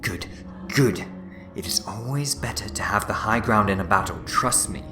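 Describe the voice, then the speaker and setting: A smug, meddling villain played by a man with a fairly low voice. He talks with teasing, self-satisfied courtesy in a sci-fi audio drama, over clear music. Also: normal speed, natural voice. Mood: brisk, smug. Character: sly, smug, meddling male alien time-traveller schemer. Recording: loud music behind the voice.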